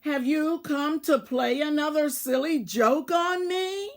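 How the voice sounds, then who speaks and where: A woman reading a storybook, voicing a grumpy, lonely, hardworking female mouse in an emphatic, deliberate way. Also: sits high, voice pushed up. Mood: gruff, scolding. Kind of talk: children's storybook read-aloud.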